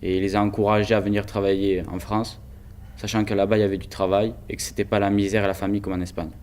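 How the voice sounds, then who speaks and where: A man's voice: lowish voice, level tone, fast, darkish tone, a little hiss or hum. A teenage boy telling his grandfather's story in an earnest, hesitant way. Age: teens. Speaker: student telling family history. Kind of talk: personal testimony, documentary voice-over.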